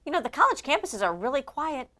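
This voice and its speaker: A woman from the Midwest co-hosting a casual political talk show, chatty and quick to laugh. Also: high voice, sing-song, bright, forceful tone. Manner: chatty, laughs often, drifts between topics. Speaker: co-host.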